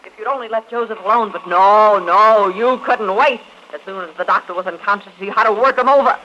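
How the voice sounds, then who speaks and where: Bright, scheming femme fatale actress, old radio thriller. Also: female voice, medium pitch, fairly quick, close to an everyday voice. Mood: scornful, angry. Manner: sharp, scornful, calculating. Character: greedy, scheming, cold-blooded young femme fatale. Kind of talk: 1940s-50s radio acting. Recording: muffled, noisy old radio recording.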